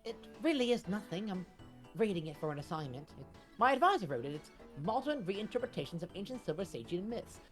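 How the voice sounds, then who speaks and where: Casting: earnest young scholar, voiced by a woman, animated and chatty, put-on British accent, faint music behind. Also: mid-range voice, brisk, natural voice. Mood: earnest, enthusiastic. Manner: chatty, enthusiastic explaining. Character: eager, bookish young student, rambling and earnest.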